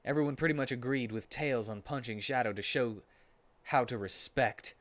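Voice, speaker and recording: Male streamer, medium pitch, narrating a comic story with theatrical mock gravity. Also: moderate pace, fairly bright tone. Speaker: streamer reading a story aloud. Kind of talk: comic dramatic reading.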